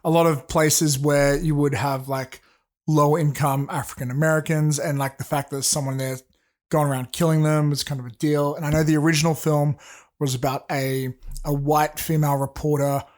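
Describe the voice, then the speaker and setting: Man, podcast co-host, sore throat, rambling, full of ums, sits a bit higher in pitch. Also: level tone, moderate pace, a little mellow. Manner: rambling, hesitant, swears. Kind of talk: casual chat.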